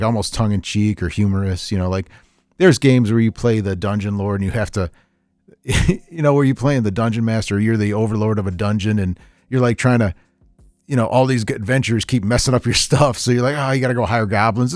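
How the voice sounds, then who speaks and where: A middle-aged man chatting casually on a podcast, telling stories in a rambling, animated way with repeats and chuckles. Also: fairly low pitch, no room sound. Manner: animated storyteller, chuckles often, repeats himself. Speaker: podcast host, veteran tabletop gamer.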